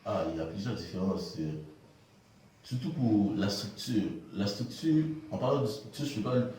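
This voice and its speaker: Low-voiced Haitian-born man, echoey and off-mic. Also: a little mellow, distant mic, a little hiss or hum. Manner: halting, repeats words. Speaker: interviewee. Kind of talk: casual interview answers.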